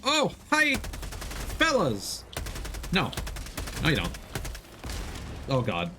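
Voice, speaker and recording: A man's voice: on the high side, huge pitch swings, very slow, background noise. A man doing casual, playful live commentary as he plays a game, full of exclamations and little muttered sound effects. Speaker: livestream gamer, host.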